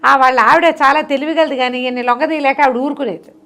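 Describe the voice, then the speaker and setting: Creaky-voiced woman storyteller, fairly high. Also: fairly even intonation, fast talker, fairly bright tone. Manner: chatty, explanatory, listing details with repetition. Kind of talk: conversational bedtime folk storytelling.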